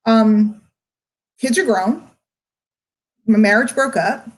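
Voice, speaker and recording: Middle-aged female online marketer, slightly high-pitched, emotional, unscripted monologue to followers, confiding yet emphatic delivery. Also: rough recording. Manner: confiding, emphatic, with drawn-out words and pauses. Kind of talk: candid livestream monologue to followers.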